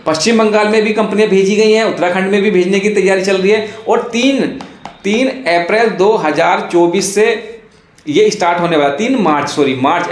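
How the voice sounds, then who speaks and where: A man explaining things informally like a coaching teacher, advising and repeating his key phrases. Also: sits high. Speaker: exam coaching teacher and YouTuber. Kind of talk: informal explainer on police recruitment. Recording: echoey room.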